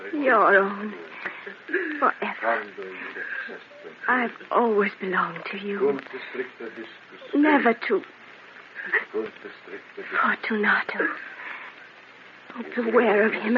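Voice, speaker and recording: A woman's voice: mid-range voice, slow, long pauses, crowd noise, natural voice. An actress playing a devoted, anguished woman in an old-time radio melodrama. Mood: dying, loving. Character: devoted, anguished Italian woman in tragic romance. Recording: muffled, noisy old radio recording.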